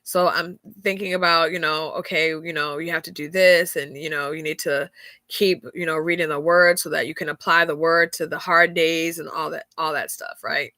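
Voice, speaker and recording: A woman's voice: deep voice, fairly even intonation, moderate pace, bright, forceful tone, no room sound. A woman, hosting a faith-centered podcast and talking candidly, with lots of fillers. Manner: candid, chatty, frequent fillers and laughs. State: stuffy nose. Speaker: podcast host. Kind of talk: casual faith-centered chat.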